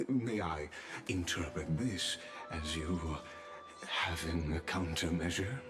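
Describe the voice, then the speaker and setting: A man's voice: low voice, music in the background. A man playing a suave, inscrutable official in a video game, speaking with sly politeness and courtly, theatrical phrasing. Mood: probing. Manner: courtly, unhurried, smooth-tongued, theatrical. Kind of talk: dramatic video game voice acting.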